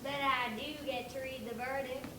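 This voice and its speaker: A woman's voice: high voice, little pitch movement, normal speed, lightly acted. A young woman playing a sensible, authoritative pig foreman in a stage comedy, explaining things in an orderly way. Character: sensible, take-charge pig foreman of a jury. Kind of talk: amateur school stage comedy. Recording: distant, echoey, noisy stage recording.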